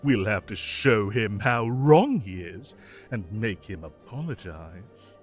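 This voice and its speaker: Man voicing a whimsical summer fae king, doting, theatrical, mock-indignant, highly melodic delivery, leisurely pace, audio drama. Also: expressive, takes it slowly, soft background music. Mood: playful scheming.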